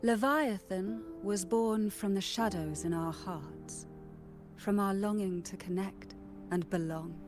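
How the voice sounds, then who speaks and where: Game role: actress playing wise matriarch, slow, sorrowful, solemn storyteller, music clearly underneath. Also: female voice, medium pitch, natural voice. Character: wise, sorrowful matriarch guarding ancient truths. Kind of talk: scripted video game dialogue.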